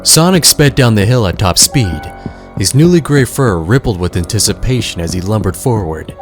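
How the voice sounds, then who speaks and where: Low-pitched man narrating a story. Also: expressive, normal speed, over music. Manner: dramatic storytelling.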